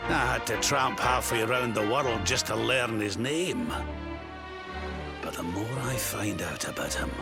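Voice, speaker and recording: An actor playing a doughty, fatherly old mentor in a video game, with a gravelly voice and weighty, folksy delivery. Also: fairly low pitch, a little slow, loud music behind the voice. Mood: grave, ominous. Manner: dramatic, weighty storytelling with folksy turns. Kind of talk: video game cutscene acting.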